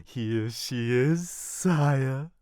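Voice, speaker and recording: Man playing a very slow, fawning henchman. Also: medium pitch, a little mellow, smooth, dry recording. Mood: servile, sly. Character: fawning, crude male henchman to a vampire lord. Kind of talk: audio roleplay acting.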